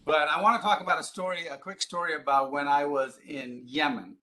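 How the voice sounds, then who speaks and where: A man delivers a live lecture in a fairly high, bright, forceful voice. He explains his points emphatically and leans on rhetorical questions to lead listeners along. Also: steady tone, fairly quick, rough recording. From Ghana, Middle East and East Africa. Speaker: public speaker, advocacy group president.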